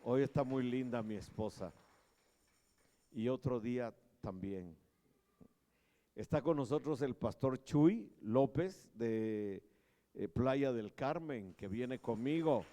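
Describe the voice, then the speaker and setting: A pastor in his sixties giving a sermon in a joking manner, growing louder as he preaches in a large church hall. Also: medium pitch. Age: 60s. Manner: slow, joking, grows loud when preaching. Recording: long church reverb.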